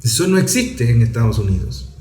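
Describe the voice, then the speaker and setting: A man's voice: medium pitch, sing-song, mellow. Emphatic Venezuelan man, echoey room. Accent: Venezuelan Spanish. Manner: emphatic, rhetorical, deliberate phrasing with pauses. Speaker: political commentator on an online program. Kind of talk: political commentary monologue.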